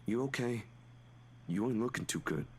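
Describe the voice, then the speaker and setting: A worried young man played by an actor speaks hesitantly, with lively swings over a middle pitch, like a caring friend in a story game. A noisy hum sits around the voice. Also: moderate pace, close to an everyday voice. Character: Zachary, concerned, hesitant young man, anxious for his friend. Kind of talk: visual novel voice acting.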